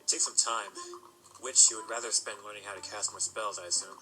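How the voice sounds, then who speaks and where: Quick-talking wry young stallion played by a man. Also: fairly low pitch, fairly even intonation, music in the background, close to an everyday voice. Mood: explaining. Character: guarded young male pony, wry and stubbornly reasoning. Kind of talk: audio drama acting.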